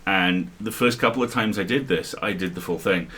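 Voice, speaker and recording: Male co-host, lowish and very husky, wry casual banter. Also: fairly quick, bright, forceful tone.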